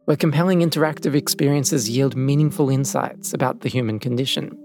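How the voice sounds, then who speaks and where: A man's voice: mid-range voice, fairly even intonation, a little fast, darkish tone. A man reading scripted documentary narration in the role of narrator.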